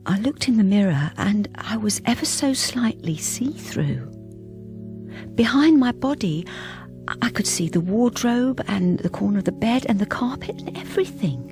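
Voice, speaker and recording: An actress playing a troubled middle-aged British wife who speaks hesitantly and confidingly in a radio drama. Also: female voice, mid-range voice, lively intonation, moderate pace, darkish tone, faint music behind, natural voice. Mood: haunted, confiding. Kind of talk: intimate first-person radio drama narration and dialogue.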